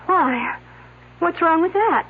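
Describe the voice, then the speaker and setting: A cornered young murder suspect in an old radio mystery, defiant and melodramatic. Her voice is high and swooping, on a tinny broadcast recording with faint noise. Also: animated, slow pace, heavily acted. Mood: cold, challenging. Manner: defensive, melodramatic. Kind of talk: 1970s radio drama acting. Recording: tinny, slightly noisy 1970s radio recording.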